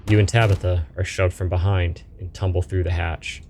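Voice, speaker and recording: Man, low voice, game streamer, reading story text aloud, casual asides, background music. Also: level tone, normal speed.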